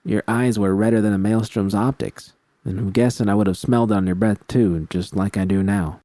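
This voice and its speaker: A man's voice: lowish voice, fairly even intonation, moderate pace, dark tone, dry recording, clean studio sound, lightly acted. A man voicing a tough, protective woman friend in an audiobook, blunt and streetwise. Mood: firm, concerned. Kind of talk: audiobook character dialogue.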